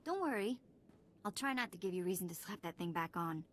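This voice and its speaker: A wry, cheeky young companion. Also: female voice, lowish voice, animated, brisk, a bit bright, slight background noise. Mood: playful, relieved. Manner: casual, sarcastic, playful. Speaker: voice actor in a game. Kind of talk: cinematic video game acting.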